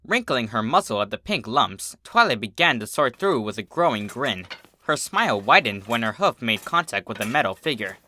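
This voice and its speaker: A man reading fan fiction as a narrator, in an expressive scripted storybook style. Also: mid-range voice, moderate pace.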